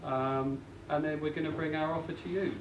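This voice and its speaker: High-voiced man in a hall. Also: fairly even intonation, a little fast. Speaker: property investment speaker. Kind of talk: seminar presentation. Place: event hall. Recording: roomy, distant, dull lo-fi with faint noise.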